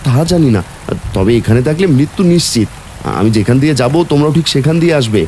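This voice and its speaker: An actor voicing a brave, steady village father who leads his family to safety and speaks with urgent command in a cartoon. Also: mid-range voice, quick pace, a little hiss or hum, natural voice. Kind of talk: cartoon voice acting.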